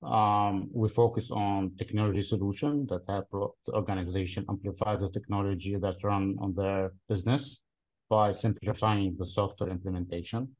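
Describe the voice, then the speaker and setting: A man in his thirties from Lebanon, answering interview questions in a deliberate, explanatory way with frequent pauses, heard over a video call. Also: fairly low pitch, fairly even intonation, darkish tone. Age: 30s. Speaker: interview guest, cloud solutions architect. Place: plain-walled room, indoors. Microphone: video call webcam. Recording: dull lo-fi video call audio.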